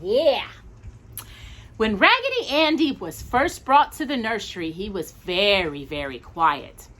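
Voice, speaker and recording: A woman's voice: medium pitch, very animated, unhurried, fairly bright tone. A woman hosting a storytime, reading a children's story aloud expressively with cooing asides to young listeners. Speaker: storytime host.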